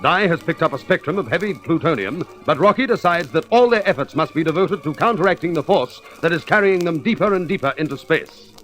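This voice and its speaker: A male narrator delivers a scripted recap for an old-fashioned radio serial. His voice sits fairly high for a man. Music plays behind him. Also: fairly bright tone.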